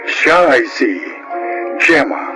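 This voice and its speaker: A man's voice: medium pitch, takes it slowly, rough recording. A man with a Filipino accent giving scripted announcements as a radio drama announcer. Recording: radio announcement over music.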